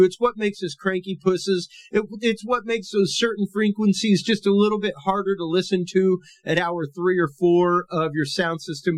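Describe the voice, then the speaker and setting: A man's voice: high voice, no room sound. A man hosting a podcast, talking through a topic in a loose, conversational, explanatory way with a big, full voice. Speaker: podcast host. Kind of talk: casual educational podcast monologue.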